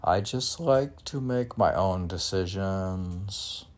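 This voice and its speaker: An older man reading his own poems and chatting, halting and soft, often restarting his words. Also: deep voice. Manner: halting, with frequent restarts and fillers. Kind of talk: poetry reading mixed with casual chat.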